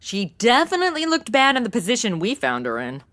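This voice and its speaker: A woman's voice: a little high, wildly swooping pitch, brisk, a bit bright. A woman playing a brash, snarky young modern sidekick in a comedy audio drama, slangy and sarcastic. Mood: sarcastic, quippy.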